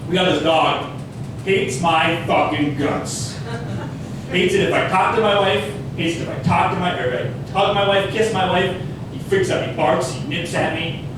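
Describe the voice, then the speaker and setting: A man's voice: high-pitched, moderate pace, background noise. Minnesotan man doing stand-up. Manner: self-deprecating, crowd work. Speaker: stand-up comedian. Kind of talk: stand-up comedy set. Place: comedy club stage. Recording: echoey club audio from a distance.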